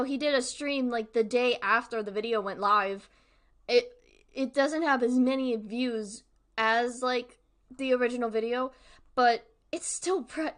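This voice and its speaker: Chatty woman streamer with a higher voice. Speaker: streamer hosting a discussion. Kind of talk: casual livestream commentary to viewers.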